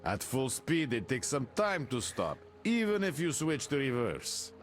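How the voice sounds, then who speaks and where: Wry game guard, swooping pitch. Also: male voice, sing-song, unhurried, faint music behind. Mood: friendly briefing. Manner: wry, casual. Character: easygoing, wry male post-apocalyptic dockside guard. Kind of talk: video game cutscene acting.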